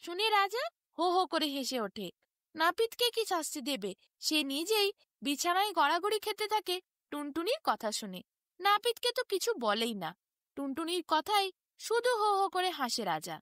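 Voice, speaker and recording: A woman narrating a children's bedtime story in an expressive, scripted style. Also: very high voice, moderate pace, dry recording, studio quality.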